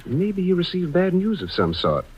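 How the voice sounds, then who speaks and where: A man's voice: high voice, wildly swooping pitch, moderate pace, husky, heavily acted. A man playing a polite, inquisitive house guest in an old-time radio suspense drama. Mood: polite, suspicious. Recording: dull vintage radio recording.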